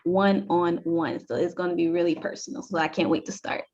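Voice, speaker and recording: A woman's voice: on the low side, steady tone, a little fast, a little mellow. Young woman on dull call audio. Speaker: panelist. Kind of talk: casual live call talk.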